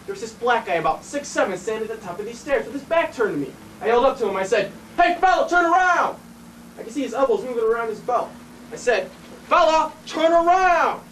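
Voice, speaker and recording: A man's voice: sits high, rapid-fire, distant mic, a little hiss or hum, close to an everyday voice, rough recording. An actor playing an intense city fireman and former cop, blunt and profane in a dramatic stage monologue. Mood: agitated, intense. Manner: profane, blunt, colloquial storytelling.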